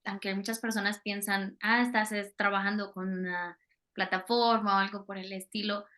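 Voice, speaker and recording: A woman's voice: medium pitch, level tone, normal speed, sharp tone. A Colombian woman, a Spanish teacher, talking casually in an interview over a video call, hesitating with many fillers.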